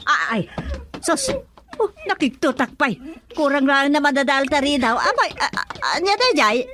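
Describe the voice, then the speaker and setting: A woman playing a fretful, exasperated middle-aged mother in a radio drama, exclaiming and questioning in drawn-out interjections. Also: high-pitched, very animated. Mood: dismayed. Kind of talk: melodramatic radio drama acting.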